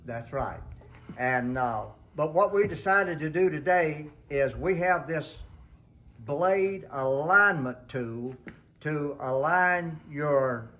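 A man's voice: fairly high pitch, slow pace, a bit bright. An older man hosting a sawmill how-to show, talking in a folksy, rambling way and often backing up to correct himself, recorded on a handheld camera in an open barn workshop. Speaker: sawmill sharpening show host. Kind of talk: casual how-to demonstration.

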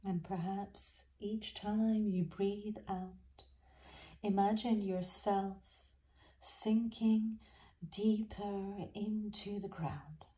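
Female meditation guide: dark, soft-toned and soothing, very slow delivery, gentle phrasing. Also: mid-range voice, little pitch movement. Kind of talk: guided body scan meditation.

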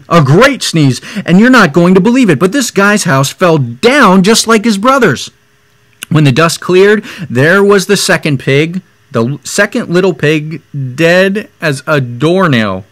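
A man's voice: on the high side, no echo. A young man reading a picture book aloud expressively, with casual asides. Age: young adult. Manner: expressive, dramatizing the story. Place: room at home, indoors.

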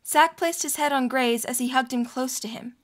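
A woman's voice: sits high, normal speed. A woman reading scripted story narration as the narrator of an audio drama.

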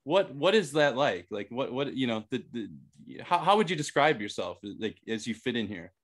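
A man's voice: medium pitch, big pitch swings, moderate pace. Rambling man searching for words. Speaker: podcast co-host. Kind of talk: casual chat.

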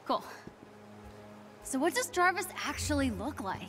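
A woman's voice: high-pitched, very animated, measured pace, music in the background. A young woman with an American accent playing an eager, awkward teenage girl hero in a video game, chatty and informal. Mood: casual, curious. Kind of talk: naturalistic video game acting.

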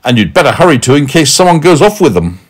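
Man putting on a high, shouting, angry big man. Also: lively intonation, a little slow, gravelly, heavily acted. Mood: firm, scolding. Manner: forceful, indignant scolding. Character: angry big man, loudly scolding and impatient.

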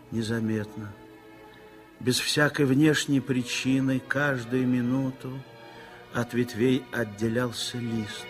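Slow, measured male radio narrator. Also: mid-range voice. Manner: measured, lyrical. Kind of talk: scripted literary narration for radio drama.

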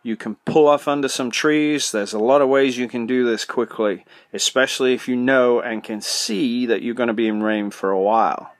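A man's voice: medium pitch, moderate pace, few pauses, dry recording. Man giving blunt, practical motorcycle advice. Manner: practical, direct, asks himself questions and answers them. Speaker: podcast host, motorcycle suspension tuner. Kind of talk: solo podcast advice talk.

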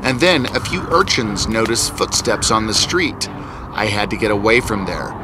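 A man's voice: fairly low pitch, animated, normal speed, background noise, natural voice. A man playing an arrogant invisible scientist in a cartoon, telling his own story in a theatrical, boastful, scornful way. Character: arrogant invisible male scientist, gloating and restless. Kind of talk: dramatic first-person storytelling in a cartoon.

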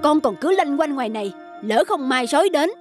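A woman's voice: high-pitched, animated, a little fast. A worried mother donkey in a children's cartoon. The voice scolds and admonishes a child in a nagging, protective way. Faint music plays behind it. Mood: worried scolding. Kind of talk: cartoon voice acting.

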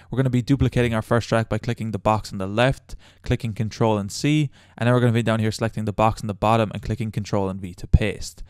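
Young guy doing a casual tutorial voiceover, talks fast, smooth clear voice. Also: lowish voice, no echo, studio quality. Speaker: tutorial host. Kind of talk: casual step-by-step screen tutorial voice-over.

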